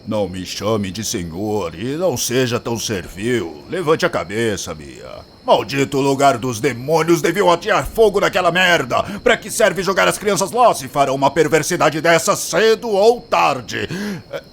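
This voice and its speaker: Gruff, blunt drunkard, animated delivery. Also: male voice, medium pitch, moderate pace, a little hiss or hum. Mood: grumbling, angry. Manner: blunt, crude, grumbling. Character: gruff hard-drinking man, crude but protective guardian. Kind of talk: acted audio drama dialogue.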